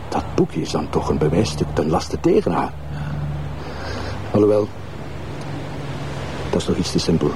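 A male actor as an earnest junior Flemish police detective with a low, lively voice. He reasons methodically and politely, hesitating as he doubts, in naturalistic crime drama style. There is faint noise behind him. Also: close to an everyday voice.

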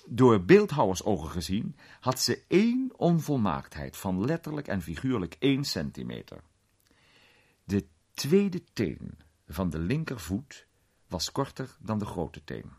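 A genial film star spinning a reminiscence in a radio play. His deep, husky voice moves with plenty of rise and fall. He talks in a conversational, slightly hesitant way, like a raconteur. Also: wildly swooping pitch, measured pace, darkish tone, exaggerated voice. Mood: musing, reminiscing. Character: male film actor, ex-athlete, recounting a strange tale genially.

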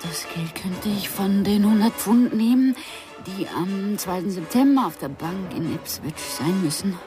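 Slow-speaking, distraught woman played by an actress. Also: mid-range voice, expressive, over music, natural voice. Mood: brooding, dejected. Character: distraught, clinging young wife. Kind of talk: radio drama acting.

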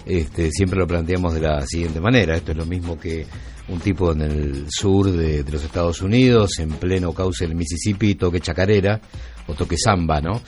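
A man with a Buenos Aires Argentine accent hosting a conversational radio interview, asking long, reflective questions with pauses and repeated words. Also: low-pitched, darkish tone. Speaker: radio show host interviewing musicians.

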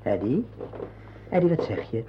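A man acting a pushy, menacing script-agency boss in a radio play, smooth-talking but quick to bark orders. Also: mid-range voice, very animated, natural voice. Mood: smooth, persuasive. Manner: domineering, curtly barks orders, smooth-talking when persuading. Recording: dull, slightly noisy old radio recording.

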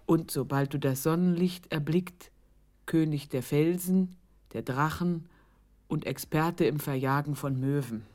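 A very low-voiced woman reads an affectionate, ornate letter aloud in a radio play. She takes it slowly, pausing and savouring the florid phrases. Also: darkish tone. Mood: playful, ceremonious.

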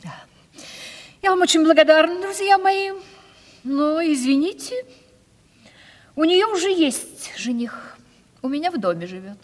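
A woman's voice: high-pitched, expressive, unhurried, pauses often. An actress playing a vain, domineering aging provincial lady in a Russian radio play, with a theatrical, imperious manner. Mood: gracious, coaxing. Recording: reverberant 1970s radio play recording.

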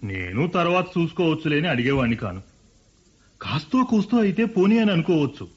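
A proud farmer son-in-law. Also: male voice, a little high, sing-song, brisk, breathy edge. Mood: earnest. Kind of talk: 1970s-style radio play acting. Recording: dull lo-fi radio broadcast.

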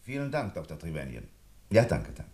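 A man playing a courteous, methodical police inspector in a German radio play, questioning politely, deliberately and insistently. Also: low-pitched, wildly swooping pitch, darkish tone. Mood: polite, probing.

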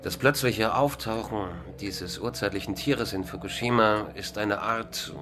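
An actor playing a resigned, pessimistic philosopher in a radio drama interview, lecturing in a ponderous intellectual manner. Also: male voice, fairly low pitch, measured pace, noisy background, natural voice. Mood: gloomy, lecturing. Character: gloomy, resigned male philosopher and culture critic.

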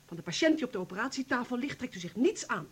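A woman's voice: a little high, expressive, fast, breathy edge. A woman acting in a Dutch radio play as an impassioned, insistent nurse who accuses and reproaches. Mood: scornful reproach.

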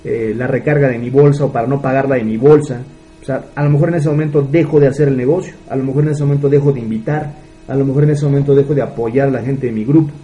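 A man's voice: mid-range voice, fairly even intonation, fast talker, mellow, muffled recording. A man in his thirties with a Mexican accent, giving an earnest motivational business talk and asking rhetorical questions. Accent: Mexican Spanish. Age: 30s. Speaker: business mentor.

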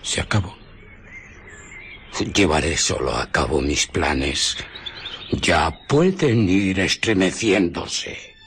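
Deep, brooding villain scientist. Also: male voice, animated, slow, pauses often, exaggerated voice. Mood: bitter, menacing. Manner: brooding, menacing. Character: embittered, megalomaniac male scientist villain. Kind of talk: Spanish radio drama acting. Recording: loud background birdsong noise. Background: birdsong outdoor ambience.

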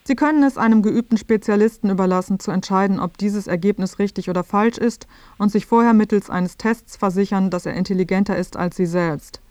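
A woman's voice: medium pitch, fairly quick, hardly any pauses, darkish tone, no echo, faint music behind, natural voice. A woman playing a dry, matter-of-fact presenter, reading scripted narration for a satirical radio feature.

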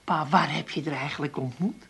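An actress playing a caring, outspoken family woman in a comedy radio play, with a husky, creaky voice. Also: low voice, a little fast, a bit bright, exaggerated voice. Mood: fond, curious.

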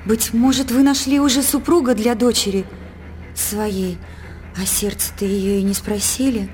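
An actress playing a young, devout and dreamy princess in a radio drama, speaking in a heartfelt, formal theatrical way. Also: female voice, medium pitch, level tone, measured pace, a little mellow, a little hiss or hum, natural voice. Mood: dignified, wary. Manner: heartfelt, declamatory, formal verse-like phrasing. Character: young princess daughter, devout, dreamy, earnest yet proud.

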